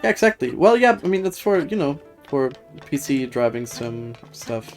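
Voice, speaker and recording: Low-pitched woman rambling on a livestream. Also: sing-song, normal speed, darkish tone. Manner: rambling, hesitant, thinking aloud. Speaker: game streamer. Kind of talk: casual livestream chat. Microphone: streaming setup with webcam. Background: music.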